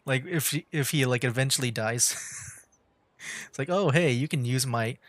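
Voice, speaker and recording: A man's voice: medium pitch, normal speed, a bit bright, dry recording. A man doing casual, rambling livestream commentary on a game, with an amused manner. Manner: rambling, amused, given to chuckling. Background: faint game music.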